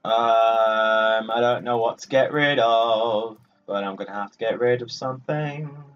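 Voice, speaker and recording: A man hosts a casual game playthrough with a playfully boastful delivery. His voice sits at a middling pitch and sounds dull and set back from the microphone. Music plays behind him as he talks. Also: measured pace. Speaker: gaming video host. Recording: muffled, distant mic over game music.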